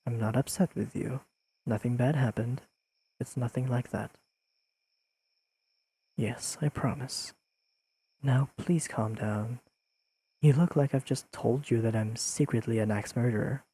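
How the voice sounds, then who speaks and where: A man playing a deadpan, pedantic but secretly fond young friend in an intimate audio roleplay, speaking in precise, dryly teasing sentences to the listener. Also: mid-range voice, a little slow, long pauses, breathy edge, poor audio. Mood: gentle reassurance. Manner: deadpan, precise, formal wording with dry teasing.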